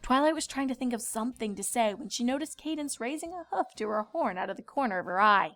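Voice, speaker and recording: A woman's voice: on the high side, expressive, fast talker, a bit bright, soft background music. A woman reading scripted narration as a storyteller.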